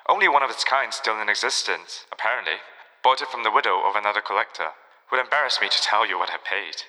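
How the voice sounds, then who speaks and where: An actor playing a cultured, wry retro enthusiast and kindly friend in an audio drama. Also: male voice, on the low side, fast talker, bright, forceful tone. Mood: enthusiastic, knowing. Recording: long reverberant hall-like echo.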